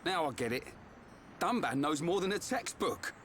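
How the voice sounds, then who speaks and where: A man playing a good-natured, slow-witted young fighter in a video game, casual and plain-spoken. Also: on the high side, very animated, fairly bright tone, a little hiss or hum. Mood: sheepish, upbeat. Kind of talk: video game cutscene acting.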